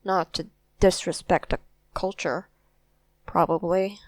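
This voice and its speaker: A woman's voice: fairly low pitch, steady tone, slow pace, no room sound. A woman voicing a polite, hesitant and timid contestant in a cartoon. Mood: uneasy, polite. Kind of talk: cartoon character dialogue.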